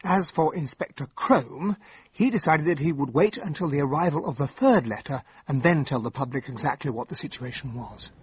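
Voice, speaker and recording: An actor playing a loyal English gentleman sidekick in a radio drama, earnest and well-spoken with Received Pronunciation. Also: a little high, expressive, normal speed, husky. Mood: measured, narrating. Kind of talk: radio drama narration and dialogue.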